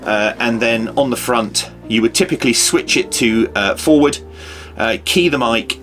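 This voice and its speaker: A man's voice: medium pitch, moderate pace, a bit bright, faint music behind. A British man in his fifties giving an informal, hesitant, unscripted how-to demonstration as a practical presenter. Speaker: presenter of a radio how-to video. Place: small home office or workshop room.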